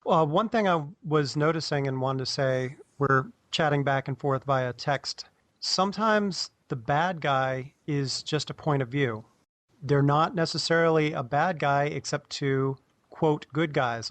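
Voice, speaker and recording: A man's voice: fairly high pitch, measured pace, a bit bright, no room sound. A man speaking as a podcast panelist in a casual discussion.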